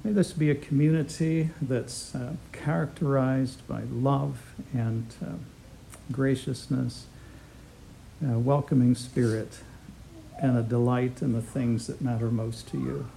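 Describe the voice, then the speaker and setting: A man's voice: medium pitch, steady tone, a little slow, vocal fry, slight background noise, low-quality recording. A middle-aged man teaching a Bible class, opening with a prayer and then lecturing in a conversational way, chuckling now and then. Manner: warm, conversational, chuckles often, with fillers.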